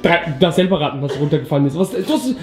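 A young man chatting casually and excitedly in as he plays a guessing game with friends. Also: on the high side, very fast. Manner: excited, slangy exclamations. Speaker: player guessing in a pantomime game. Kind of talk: casual joking game chat among friends. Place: echoey room indoors at home. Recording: echoey room with music behind.

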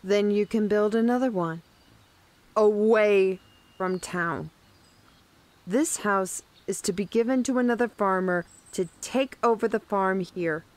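A woman playing a hostile, stern townswoman in a fantasy roleplay audio drama, deliberate and accusatory. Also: mid-range voice, darkish tone, lightly acted. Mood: cold.

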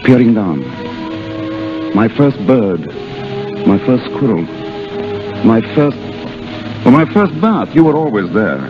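Ardent boyish English sweetheart, halting delivery, very expressive pitch, 1930s radio drama, muffled broadcast. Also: male voice, music in the background. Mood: tender, playful.

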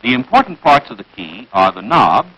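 An American man reading scripted narration for a 1940s military training film in a crisp, instructive manner, on a vintage film soundtrack. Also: mid-range voice, very animated, moderate pace, sharp tone, highs cut off.

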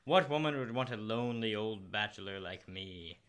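A young man acting a shy, lonely bachelor store clerk in an old-time radio comedy, halting and bashful. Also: fairly low pitch, animated, a bit bright, clean tone. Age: young adult. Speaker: high school student actor. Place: school library room, live staged radio show. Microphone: shared stand microphone.

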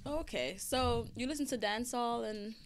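A woman's voice: on the high side, moderate pace, noisy background. A woman hosting a podcast, asking questions in casual chat with short acknowledgments and light teasing. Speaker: podcast host.

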